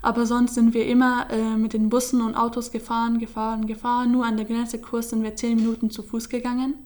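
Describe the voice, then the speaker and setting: Young woman, flat hesitant telling. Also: a little high, fairly quick. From Syria. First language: Kurdish. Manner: hesitant, many fillers and restarts. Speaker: interviewee. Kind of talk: casual personal account.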